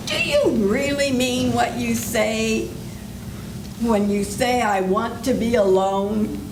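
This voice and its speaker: Brief: older actress plays a coaxing 1940s ad announcer, unhurried, bouncy pitch, noisy background. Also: female voice, full character voice. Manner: coaxing, sing-song sales patter. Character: chirpy female 1940s radio ad announcer. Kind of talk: 1940s radio commercial reading. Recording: echoey room with background noise.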